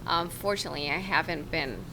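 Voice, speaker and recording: A woman in her thirties, a podcast guest, speaking in a soft, hesitant way. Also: sits low, lively intonation, brisk, gravelly, background noise. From United States, raised in Mexico. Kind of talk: casual conversation.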